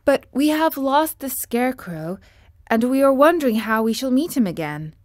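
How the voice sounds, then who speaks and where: Storybook girl, young and eager, read by a woman; high, quick, dry read. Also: very animated, no echo. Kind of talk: storybook character voice.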